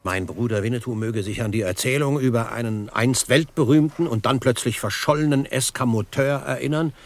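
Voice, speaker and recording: A male actor playing a calm, shrewd frontiersman in a German radio drama, speaking in a measured, formal, explanatory way. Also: medium pitch, no room sound, natural voice. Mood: composed, deducing. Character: Old Shatterhand, calm shrewd frontiersman, questioning and deducing.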